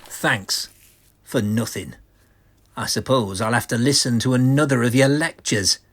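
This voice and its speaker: A man acts out a deluded artist in a horror comic radio play. He is bitter and grandiose, with melodramatic resentment. His mid-pitched voice is husky and takes its time. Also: a little slow, a bit bright. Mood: bitter, sullen. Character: deluded, bitter, arrogant male artist going mad. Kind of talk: vintage horror comic audio drama acting.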